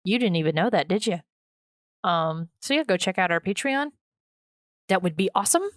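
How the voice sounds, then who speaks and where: Female podcast co-host, smooth clear voice, dry close sound, informal and jokey delivery of plugs. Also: lowish voice, animated, moderate pace, no echo. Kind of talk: casual podcast outro.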